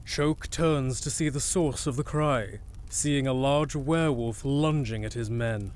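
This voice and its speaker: A man's voice: medium pitch, a little slow, background noise, close to an everyday voice. A man reading dramatic scripted narration for an audio drama as its narrator.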